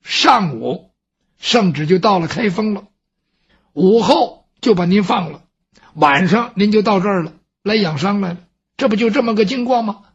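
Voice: mid-range voice, animated, breathy edge, muffled recording. 一位说书人扮演一个絮絮叨叨劝慰上司的宫中随从。. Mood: explaining. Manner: brisk, coaxing, plain-spoken. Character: palace servant consoling his superior, chatty.

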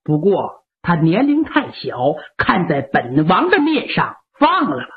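A woman's voice: on the low side, wildly swooping pitch, measured pace, voice pushed down. A woman storyteller voicing a haughty royal prince who speaks commandingly and indignantly. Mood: calm. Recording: lo-fi narrow-band recording.

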